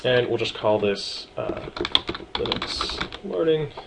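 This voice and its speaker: A man casually talking through a software setup tutorial, relaxed and chatty with frequent ums and small asides. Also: medium pitch, slow, creaky, noisy background. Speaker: tutorial presenter. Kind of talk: screen-recorded software walkthrough.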